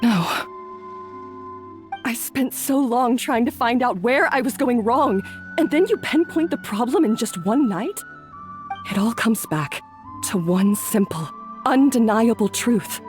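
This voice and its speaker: An actress playing an insecure young head chef who talks in a subdued, hesitant way in a game cutscene. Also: female voice, a little high, expressive, unhurried. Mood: downcast. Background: faint game music.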